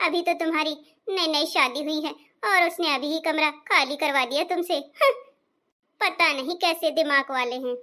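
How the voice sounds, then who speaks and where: A woman's voice: high-pitched, normal speed, sharp tone. A woman voicing a meddling elder sister-in-law in a cartoon, mocking and stirring up trouble. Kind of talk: cartoon voice acting.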